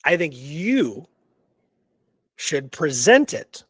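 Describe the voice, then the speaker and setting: A man with a fairly high-pitched voice co-hosts an unscripted history discussion. He talks haltingly, doubling back and repeating words for emphasis. The sound is very dry, with no room echo. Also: very animated, a bit bright, husky. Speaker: co-host of a history radio show.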